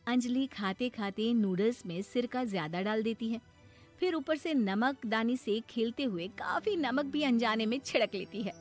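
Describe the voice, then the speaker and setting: A woman's voice: fairly high pitch, normal speed, soft background music. A woman narrating a scripted moral story for children as its storyteller.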